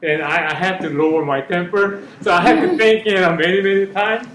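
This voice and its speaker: A man's voice: sits high, brisk, a bit bright. Middle-aged man, echoey room. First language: Korean. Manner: earnest, searching for words in a second language. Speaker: panelist, parent. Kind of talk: panel discussion. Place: school hall or room. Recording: echoey room, slightly dull sound.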